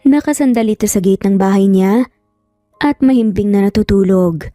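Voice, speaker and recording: A woman's voice: medium pitch, normal speed, mellow, no echo, studio quality, soft background music. A woman with a Filipino accent reading a scripted, dramatic romance story as narrator.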